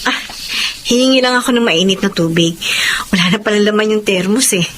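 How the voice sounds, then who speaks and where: An actress playing a nosy, tactless elder sister in a Filipino radio drama, given to exclaiming. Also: female voice, medium pitch, brisk, fairly bright tone, slight background noise, lightly acted. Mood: teasing.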